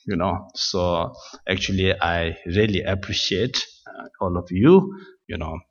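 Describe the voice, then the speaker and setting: Halting man giving a dharma talk. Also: sits low, husky. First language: not English. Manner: halting, many uh fillers and restarts; gentle, self-deprecating. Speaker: Buddhist teacher. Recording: dull, reverberant hall sound.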